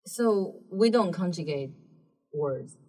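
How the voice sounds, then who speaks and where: A woman whose first language is Mandarin Chinese, speaking English with a Mandarin accent as an interview guest, explaining things carefully with frequent pauses. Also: on the low side, lively intonation, a little mellow, slightly rough. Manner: thoughtful, explaining with many um pauses. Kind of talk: casual explanatory chat. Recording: distant mic in a room.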